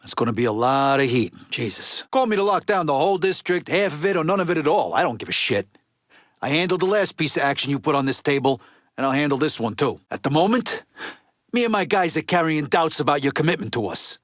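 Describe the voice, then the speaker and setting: An actor playing a gruff, resentful mob lieutenant in a video game, with an American accent and a blunt, streetwise, foul-mouthed way of talking. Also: male voice, a little high, lively intonation, fairly quick, fairly bright tone, dry recording, clean studio sound. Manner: blunt, streetwise, heavy swearing.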